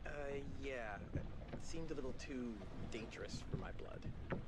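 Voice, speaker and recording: An actor playing a mild, cautious, gently bookish young man in a video game. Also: medium pitch, expressive, measured pace, close to an everyday voice. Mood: hesitant. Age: young adult. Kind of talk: naturalistic video game acting. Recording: loud background noise on a boat.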